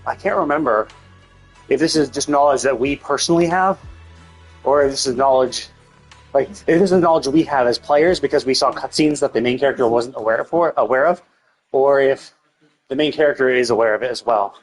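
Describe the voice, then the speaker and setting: A man's voice: medium pitch, fairly quick, soft background music, poor audio. A man giving casual, speculative game commentary as a live streamer, thinking aloud and often rephrasing himself.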